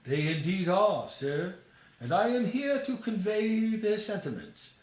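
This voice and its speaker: A man's voice: sits high, very animated, unhurried, a little mellow, echoey room, voice pushed up. A man voicing an affectionate, respectful nephew in a storybook reading, earnest and formally courteous.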